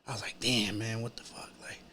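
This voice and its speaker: A man's voice: on the low side, level tone, brisk, pushed and bright, a little hiss or hum. A man in his early thirties from New York, with a New York accent, chatting casually as a rapper being interviewed, slangy and peppering his talk with "you know". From Chelsea, Manhattan, New York, USA.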